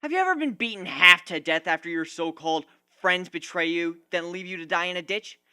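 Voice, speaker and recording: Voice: mid-range voice, animated, fairly quick, bright, forceful tone, smooth, no echo, studio quality, pitched above the performer's own voice. A narrator voicing a wounded, sarcastic young male griffin who rants emphatically in a storybook reading. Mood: bitter ranting. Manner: ranting, emphatic, aggrieved.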